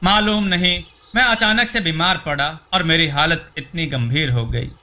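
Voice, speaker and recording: A man's voice: a little high, moderate pace, pushed and bright. A man voicing a lovesick, ailing king in a folk tale, speaking in a solemn, confiding manner. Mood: evasive.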